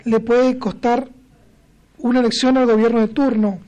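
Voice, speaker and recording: A woman's voice: a little high, flat delivery, normal speed, dark tone, lo-fi sound. A woman co-hosting a live broadcast, reading out poll results and viewer comments.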